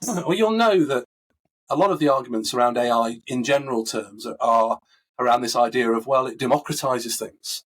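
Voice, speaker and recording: A man with a husky, recovering voice, answering interview questions in a thoughtful, conversational way with frequent fillers and restarts. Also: mid-range voice, moderate pace, fairly bright tone, slight background noise, low-quality recording. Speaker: coaching expert guest.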